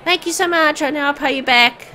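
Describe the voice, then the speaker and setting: A woman's voice: high voice, a little fast, bright, forceful tone, slight background noise, voice pushed up. Woman putting on a timid, hesitant young boy. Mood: grateful, anxious. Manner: hesitant, apologetic, naively cheerful. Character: timid, meek, eager-to-please poor young boy.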